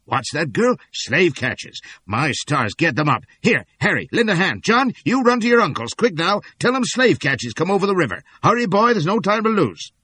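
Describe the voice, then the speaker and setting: A man's voice: high voice, sing-song, pushed and bright, husky, no echo, voice pushed up, heavily acted. A man doing a bossy rustic townsman. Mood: urgent. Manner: rustic, commanding, hurried. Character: bossy rustic small-town American man, urgent.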